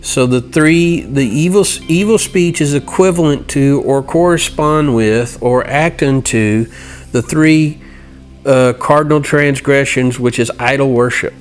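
A middle-aged man teaching from scripture in an earnest, halting way, reading passages and explaining them. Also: mid-range voice, faint music behind. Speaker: Bible teacher. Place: indoor room by a large window. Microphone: lapel mic.

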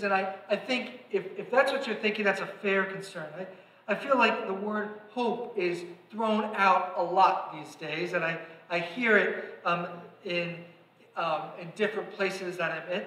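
A middle-aged man preaches from the pulpit with a high, bright, forceful voice. He is earnest and swells into emphatic calls to his listeners. The room adds a roomy sanctuary echo. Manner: earnest, rising to emphatic exhortation, gesturing. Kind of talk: sermon. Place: large church sanctuary, at a pulpit. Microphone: pulpit mic. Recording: echoey sanctuary reverb.

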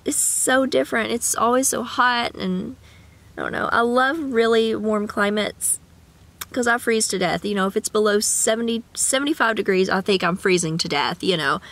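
A woman's voice: a little high, moderate pace, a bit bright. A young woman with a cough, chatting casually to the camera from a parked car, rambling with sing-song asides. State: sick with a cough. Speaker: vlogger. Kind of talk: casual chat. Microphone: camera at arm's length.

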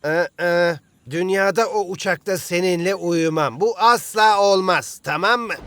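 Proud, irritable young soccer star, higher-pitched. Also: male voice, measured pace, fairly bright tone, a little hiss or hum. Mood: irritated, defensive. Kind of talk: animated cartoon dub.

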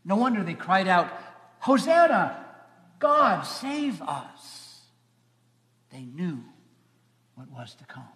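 A man's voice: high-pitched, sing-song, slow pace, long pauses, fairly bright tone. A man in his fifties, a pastor preaching a dramatic storytelling sermon with emphatic phrasing. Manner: emphatic, swelling and trailing phrases. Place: large church sanctuary, seated at front. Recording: echoey church hall, long reverb.

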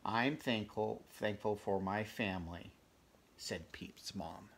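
A man in his fifties with a gravelly voice reads a picture book aloud, adding chatty, conversational asides for young listeners. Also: on the low side, slow, a bit bright, a little hiss or hum. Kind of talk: children's read-aloud with casual asides. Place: small furnished bedroom, indoors.

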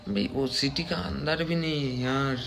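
A man with a fairly flat voice, the kind heard on a scooter vlog, repeating himself over faint traffic sounds. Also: mid-range voice, measured pace, gravelly. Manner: repetitive, exclaiming at what he sees. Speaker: motovlogger. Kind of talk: casual running commentary while riding. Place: outdoors on a moving two-wheeler. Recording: faint road noise. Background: traffic and outdoor ambience.

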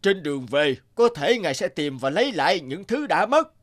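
A man's voice: a little high, sing-song, moderate pace. A man voicing a small, timid, polite horned forest imp in a cartoon. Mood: persuasive. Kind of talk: cartoon voice acting.

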